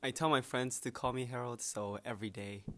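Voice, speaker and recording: A man's voice: medium pitch, steady tone, fairly quick, pushed and bright. A young male university student giving short, matter-of-fact interview answers. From Korea.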